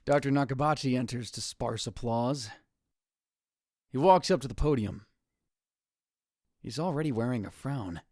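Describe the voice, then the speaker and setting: A man's voice: medium pitch, lively intonation, moderate pace, long pauses, a little mellow, no room sound, studio quality, close to an everyday voice. A man playing a theatrical, hot-headed young self-styled mad scientist in an anime visual novel dub, with grandiose delivery. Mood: brooding. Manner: dramatic, grandiose outbursts. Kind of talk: anime visual novel fan dub, first-person narration.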